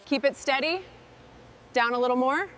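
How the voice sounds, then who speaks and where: A woman's voice: sits high, moderate pace, fairly bright tone, a little hiss or hum. A woman playing an exacting crew boss in scripted workshop dialogue. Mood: careful, instructing. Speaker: restoration crew leader.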